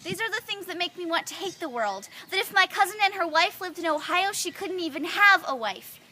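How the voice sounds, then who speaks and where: A woman's voice: extremely high pitch, expressive, a little fast, sharp tone, a little hiss or hum. A young woman reciting slam poetry in an earnest, rhythmic way, leaning on repeated phrases. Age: young adult. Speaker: student poet.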